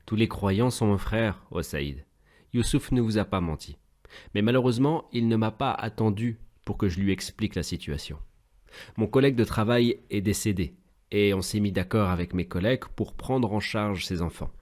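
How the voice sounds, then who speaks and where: A man voicing a gentle, pious father patiently teaching his children in a French cartoon, measured and didactic. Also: lowish voice, close to an everyday voice. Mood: calm explaining.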